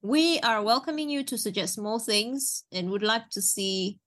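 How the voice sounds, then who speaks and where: A woman's voice: fairly high pitch, animated, measured pace, a bit bright. A woman co-hosting a podcast in casual chat, laid-back, laughing easily and trailing off mid-thought. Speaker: podcast co-host.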